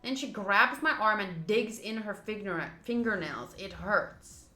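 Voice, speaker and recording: A woman's voice: medium pitch, animated, measured pace, sharp tone. A woman streaming a game, reading visual novel text aloud and slipping into chatty, casual asides to viewers. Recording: roomy echo. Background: game music.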